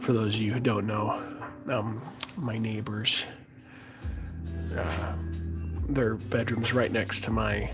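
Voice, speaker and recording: A man with a hoarse, raspy voice left over from being sick talks slowly and haltingly. He fills gaps with "um" and "uh" as he chats with viewers during a livestream, with soft music playing behind him. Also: fairly low pitch, flat delivery. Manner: halting, many fillers, answers chat as he works. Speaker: livestreamer sculpting and chatting with viewers. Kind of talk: casual livestream chat. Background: music playing softly.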